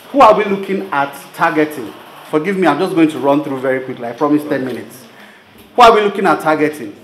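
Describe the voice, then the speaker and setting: A Nigerian man with a fairly high voice presents to a live audience in a conversational, storytelling way. He leans on rhetorical questions and speaks informally. The room rings around his voice, with some faint noise behind it. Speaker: presenter of a business training program. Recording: roomy venue sound with background noise.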